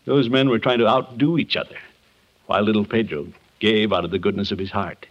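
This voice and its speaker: A man's voice: fairly low pitch, steady tone, a little fast. An American actor in 1950s radio style playing a kindly, sheepish middle-aged man who tells a Christmas story expressively and unhurriedly. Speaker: lead actor of a radio comedy. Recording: dull vintage 1950s radio recording.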